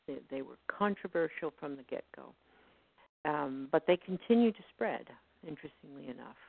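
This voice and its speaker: Female academic guest, measured scholarly delivery, creaky timbre, phone-quality dry audio. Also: deep voice, expressive, no echo. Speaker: history professor guest. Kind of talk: online radio interview. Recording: tinny phone line, dry.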